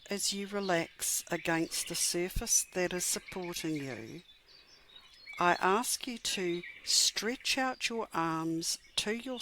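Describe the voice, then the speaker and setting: A woman leads a guided meditation in a measured, gentle, soothing way, pausing often between phrases. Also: fairly low pitch. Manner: measured, gentle, soothing delivery with long pauses. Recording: clear background noise with birdsong. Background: birdsong and chirping.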